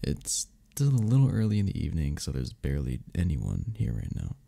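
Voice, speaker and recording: A man playing a flirty, friendly club member in an ASMR romance roleplay, teasing and reassuring in a breathy, gravelly voice. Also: rumbling low, huge pitch swings, brisk, dark tone, heavily acted. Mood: gentle, inviting. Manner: teasing, reassuring, chuckles between phrases. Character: flirty, friendly male cuddle club member showing a newcomer around. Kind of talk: ASMR romance roleplay, one-sided conversation.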